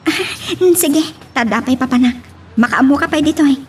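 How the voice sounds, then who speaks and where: A woman's voice: sits high, moderate pace, a little mellow, a little hiss or hum, lightly acted. A woman voice-acting a coy, puzzled young woman being courted in an Ilocano love drama.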